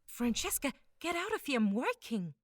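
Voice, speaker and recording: A woman's voice: sits high, sing-song, moderate pace, dry recording, studio quality. A woman acting a stern, dismissive mother in an audio drama, speaking curtly. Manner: curt, dismissive. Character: stern, brusque mother busy with shady business.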